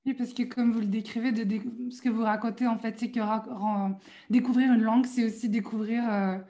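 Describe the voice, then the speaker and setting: A woman's voice: fairly high pitch, steady tone, fairly quick, warm tone. A woman hosting a radio interview, asking friendly questions with frequent fillers. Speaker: radio host.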